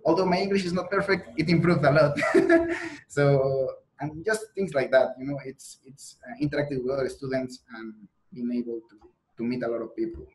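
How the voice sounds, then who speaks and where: Young man, Mexican Spanish accent, native Spanish speaker, earnest, halting restarts, video call. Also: mid-range voice, low-quality recording. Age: young adult. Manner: frequent restarts and fillers, earnest. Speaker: guest, PhD student. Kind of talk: conversational interview answers. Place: indoor room on a video call.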